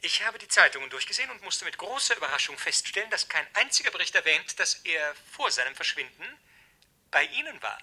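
An actor in a 1970s radio play playing a sly, falsely polite blackmailer with an insinuating manner. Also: male voice, high-pitched, sing-song, a little fast, bright, forceful tone, full character voice. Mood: slyly polite. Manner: insinuating, mock-courteous, hesitant when probing.

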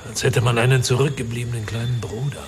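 A man playing a coarse, domineering middle-aged father in a radio drama, theatrical and gravelly. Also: medium pitch, steady tone, quick pace, noisy background, lightly acted. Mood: dark, reflective. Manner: theatrical, swinging from purring to hushed. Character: coarse, domineering Scottish-born wealthy father confessing dark secrets.

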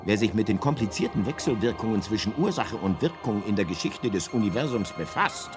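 A man's voice: fairly low pitch, lively intonation, a little fast, music in the background, lightly acted. A man playing the narrator of a radio drama, a guidebook telling its tale in a dryly grandiose, scripted storytelling manner. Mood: dry, epic.

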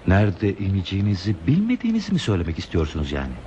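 A man's voice: low-pitched, huge pitch swings, dark tone. An actor playing a lonely, talkative man who is dreamily devoted to trains, musing poetically in a radio play. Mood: curious, probing. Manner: musing, poetic, slow pauses in monologue. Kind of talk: radio theatre acting. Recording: dull, lo-fi radio play tape, hissy.

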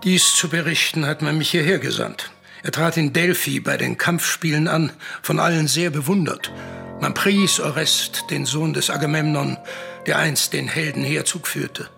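An actor playing an older messenger with a raspy voice, solemnly declaiming grave news in a classical tragedy radio play. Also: male voice, on the high side, normal speed, music in the background. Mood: vivid recounting. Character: old male messenger stranger bringing grave news, solemn.

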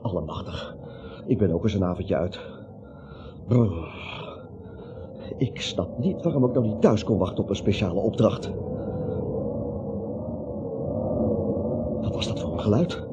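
Nervous wimpy little man in an old radio drama, rambly and stuttery, real lively pitch, muffled sound. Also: moderate pace, pauses often. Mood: nervous, muttering. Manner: jittery, rambling, stammering. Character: anxious, timid little man, a cowardly reluctant vigilante. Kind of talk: radio play acting. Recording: dull, slightly noisy radio recording.

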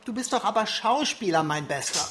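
Middle-aged film director for radio play: devoted, self-deprecating, theatrical delivery over music. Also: male voice, high voice, animated, brisk, a bit bright. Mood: urging, coaxing. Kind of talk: first-person radio play narration and dialogue.

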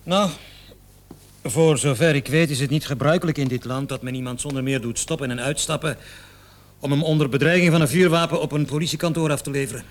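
A man's voice: fairly high pitch, brisk, natural voice. An actor playing an indignant, flustered salesman of about thirty who stammers and protests under suspicion in a radio drama. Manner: protesting, stammering when pressed. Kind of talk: Dutch-language radio drama acting.

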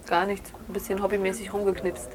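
An actress playing a hurt, candid thirty-year-old daughter in natural, improvised-sounding radio drama dialogue. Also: female voice, mid-range voice, flat delivery, fast talker, noisy background, lightly acted. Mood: terse, dismissive. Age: 30s.

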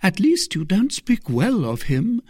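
A man voicing a kindly, anxious convent abbess who questions earnestly and hesitantly, in a raised husky voice. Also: high-pitched, wildly swooping pitch, measured pace, a little mellow, no echo, clean studio sound, voice pushed up, heavily acted. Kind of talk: audiobook character reading.

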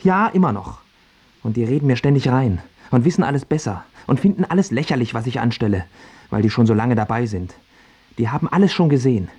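An actor playing an anxious, self-doubting failed singer in a radio drama, brooding and needy in manner. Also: male voice, mid-range voice, lively intonation, fairly quick, a little mellow, breathy edge. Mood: anxious, fretful. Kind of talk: stage-like radio drama acting.

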